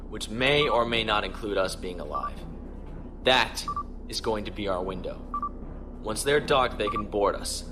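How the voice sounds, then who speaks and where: An actor playing a calm, commanding starship captain in a measured, authoritative way for an audio drama. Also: male voice, lowish voice, lightly acted.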